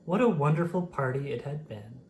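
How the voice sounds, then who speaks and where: A storyteller reading a children's picture book aloud in a slow, gentle manner. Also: mid-range voice, expressive, slight background noise.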